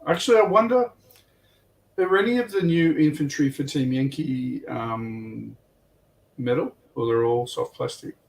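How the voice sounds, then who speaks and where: A man co-hosting a casual livestream, talking in a halting, thinking-aloud way. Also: medium pitch, creaky, distant mic, a little hiss or hum, low-quality recording. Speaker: livestream co-host. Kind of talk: casual hobby chat.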